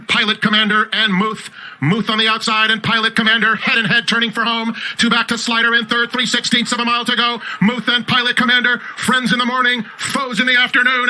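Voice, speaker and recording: A man calling horse races in live commentary, with urgency and excitement that builds toward the finish. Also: high-pitched, low-quality recording. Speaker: horse race caller.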